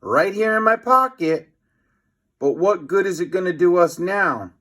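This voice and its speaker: A man voicing a panicky, excitable young schoolboy in a children's storybook, exclaiming and wailing. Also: high voice, unhurried, voice pushed up, exaggerated voice. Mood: worried.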